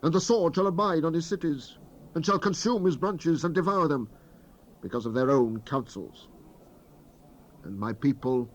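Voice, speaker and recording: A man's voice: mid-range voice, natural voice. A man playing an impassioned ancient prophet of Israel, declaiming God's judgment in solemn, archaic scripture for a dramatized Bible recording. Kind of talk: dramatized King James scripture reading. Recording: muffled, hissy 1970s cassette transfer. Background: tape noise, ambient effects.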